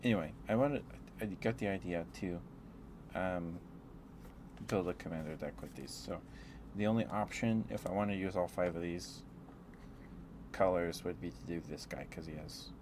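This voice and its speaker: A man chatting in a rambling, affectionate ASMR style to live viewers, speaking softly into a close microphone. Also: sits low, moderate pace, long pauses. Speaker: ASMR livestreamer. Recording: clear background noise.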